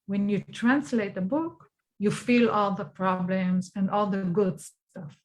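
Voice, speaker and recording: A woman's voice: mid-range voice, fairly even intonation, takes it slowly, a little mellow, poor audio. A woman from Israel, a Hebrew speaker, answering interview questions frankly and laughing easily. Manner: frank, laughs easily, simple direct phrasing. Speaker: guest publisher. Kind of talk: casual interview.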